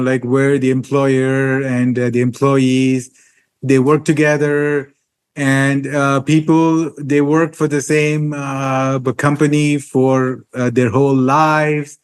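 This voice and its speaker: A man hosting an interview, asking long questions with frequent hesitations and filler pauses. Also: medium pitch, clear, resonant tone. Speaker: interview host.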